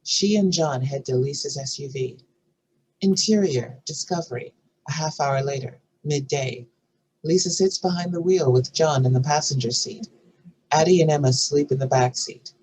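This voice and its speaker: A narrator delivers screenplay directions in a scripted reading. The voice is husky and sits at a middle pitch. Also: normal speed, darkish tone, rough recording.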